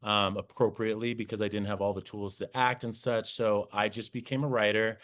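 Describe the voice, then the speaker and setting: A man's voice: lowish voice, flat delivery, brisk, fairly bright tone. A young man speaking casually and earnestly as an interview guest, telling his own story in a self-reflective way. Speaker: podcast guest, nightlife and members-club professional. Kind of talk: casual interview talk, personal career story.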